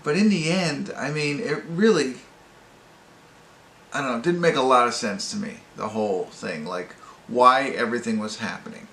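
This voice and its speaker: Male movie-review host, casual and rambling, mid-pitched with vocal fry, roomy sound with faint background noise. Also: fairly bright tone. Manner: halting, rambling, self-correcting. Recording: echoey room with faint noise.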